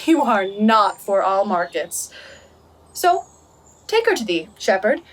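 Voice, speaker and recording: A young woman playing a bold, witty young heroine in a Shakespearean radio play, brisk and mocking. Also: medium pitch, big pitch swings. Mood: scornful, mocking. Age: young adult. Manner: brisk, mocking, declamatory verse and prose.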